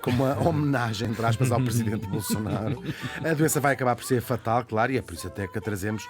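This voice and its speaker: A Portuguese man talks quickly through a spirited radio biography, laughing and tossing in asides. He sits at a middling pitch for a man. Faint music plays beneath the studio talk. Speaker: radio columnist. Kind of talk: lively radio biography chat.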